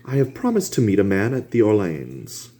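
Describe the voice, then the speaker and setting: A man's voice: on the low side, wildly swooping pitch, normal speed, dark tone. A man voicing a polished, witty male aristocrat who speaks politely and unhurriedly. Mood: suave.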